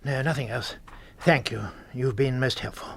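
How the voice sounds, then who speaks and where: An actor playing a wry, matter-of-fact English gentleman in a radio drama, with a British accent and an understated, reasoning manner. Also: mid-range voice, moderate pace, vocal fry, background noise, lightly acted. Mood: resigned.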